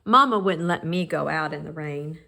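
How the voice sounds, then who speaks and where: A woman reading a children's picture book aloud in measured, expressive phrases with pauses. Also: deep voice, gravelly. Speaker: storybook reader.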